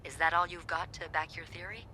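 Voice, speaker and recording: Bright, nosy hotel receptionist. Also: female voice, lowish voice, fairly even intonation, a little fast, a little hiss or hum. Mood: playful, intrigued. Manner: playful, conspiratorial. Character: breezy, nosy hotel receptionist, playful young woman confidante. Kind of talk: video game voice acting.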